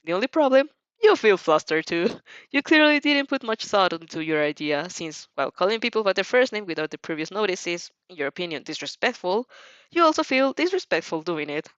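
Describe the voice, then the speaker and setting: Animated woman narrating a story. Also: fairly low pitch, fairly quick, no room sound, dull audio. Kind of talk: dramatized fan fiction narration.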